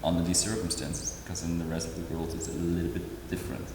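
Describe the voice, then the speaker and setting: A very deep-voiced man in his forties talks haltingly, with lots of fillers and restarts. He was born in Indonesia and answers interview questions as an artist. The recording comes from a large room with a strong echo, a long reverb and a faint hiss. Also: completely flat delivery. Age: 40s. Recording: echoey hall with faint noise.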